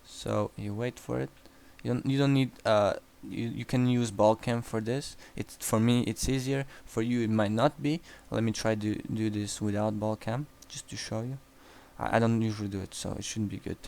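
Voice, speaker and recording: Young Croatian-accented man, lowish voice, blunt and profane game coach. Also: fairly even intonation, moderate pace, gravelly, hardly any pauses, a little mellow. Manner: blunt and profane, frequent restarts. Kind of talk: replay analysis commentary.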